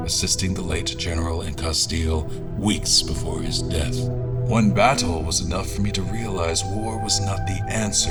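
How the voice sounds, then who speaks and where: An actor playing a reflective military strategist, narrating his adventure in a solemn, deliberate, literary way for an audio drama. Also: a bass voice. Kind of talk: dramatic scripted first-person narration.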